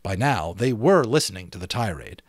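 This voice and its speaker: Expressive man narrating, dry sound. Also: lowish voice, normal speed, dry recording. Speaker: amateur audiobook narrator. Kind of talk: dramatic literary narration.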